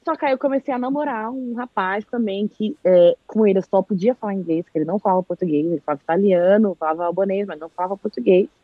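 A husky, fairly high-voiced woman from Brazil talks on a live chat, animated and lively as she tells her story and acts out quoted speech. The sound is dry and a little dull and lo-fi. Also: quick pace, few pauses, no room sound.

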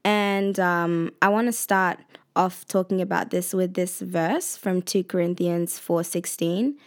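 A woman's voice: fairly low pitch, steady tone, unhurried. A twenty-year-old woman and university student talking casually on her vlog, chatty and slangy, laughing often as she speaks. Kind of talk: casual personal vlog talk.

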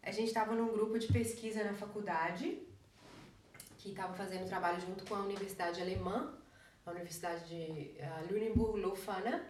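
A woman's voice: mid-range voice, fairly even intonation, moderate pace, a little mellow. A young Brazilian woman co-hosting a podcast in a casual, conversational way, speaking with a Brazilian accent. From Brazil, Caetité in Bahia. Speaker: podcast co-host. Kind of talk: casual chat. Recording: echoey room, distant mic, light noise.

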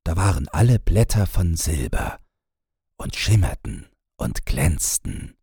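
A man narrating a scripted fairy tale as an audiobook, in a measured storytelling manner. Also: low-pitched, big pitch swings, gravelly, mellow, breathy edge, no echo, studio quality.

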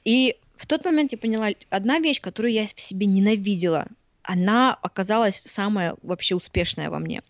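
A woman from Siberia in her thirties chats as a podcast guest, lively and quick to laugh. She acts out other people's remarks as she tells stories. The recording is dry and dull. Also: medium pitch, no echo. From Siberia, Russia. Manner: animated, laughs often, acts out quoted voices. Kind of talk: casual interview chat.

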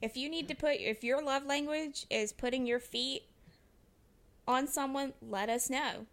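Relaxed, chatty young woman podcast co-host. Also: high-pitched, a little slow. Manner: relaxed, chatty, swears casually. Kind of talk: casual chat.

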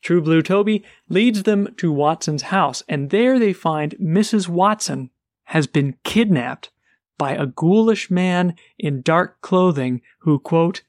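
Podcast co-host, male, higher voice, lively plot recap, dry mic. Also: slow, no echo. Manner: animated retelling of a plot. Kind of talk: casual book discussion.

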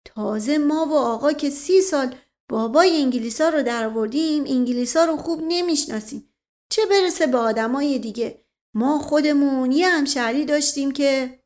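A woman's voice: sits high, a little mellow, lightly acted. A woman voicing a folksy, chatty old male servant with a colloquial drawl in a storybook reading. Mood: boastful.

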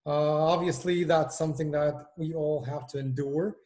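Hesitant Israeli-born man, slightly high voice. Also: steady tone, normal speed, darkish tone. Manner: hesitant, many fillers and restarts. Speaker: guest, engineering manager. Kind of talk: casual video-call interview. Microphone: video call.

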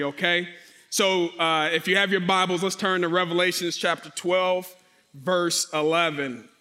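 A man with a fairly high, bright, forceful voice preaches loudly and with high energy, repeatedly calling out questions to the congregation. He speaks from the front of a church sanctuary. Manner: loud, energetic, calls out to the congregation. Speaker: associate pastor, guest speaker. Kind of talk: sermon with crowd interaction.

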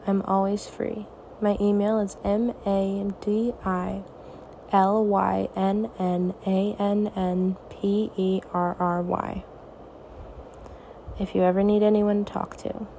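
A teenage girl hosting her own podcast, talking softly and reassuringly in a raspy, tired voice late at night. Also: mid-range voice, level tone, slow, slight background noise, low-quality recording. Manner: soft, reassuring, hesitant. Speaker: podcast host. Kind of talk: personal podcast monologue.

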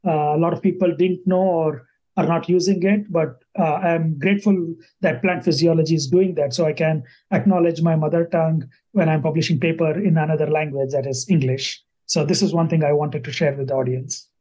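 A man's voice: a little high, fairly even intonation, a little fast, mellow, highs cut off. A man from India, a native Kannada speaker, answering interview questions thoughtfully with many fillers and restarts. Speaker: guest scientist.

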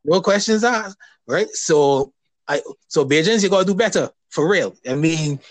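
A man hosting a casual interview, talking hesitantly with many restarts and pauses. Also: on the high side, lively intonation, no echo. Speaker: podcast host.

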